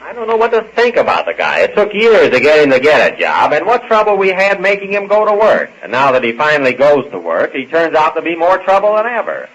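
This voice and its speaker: An actor playing a sardonic, put-upon husband in an old-time radio comedy serial, grumbling dryly. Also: male voice, on the high side, sing-song, fairly quick, few pauses, a bit bright. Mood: exasperated. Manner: dry, sarcastic grumbling. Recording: muffled, faintly noisy old radio recording.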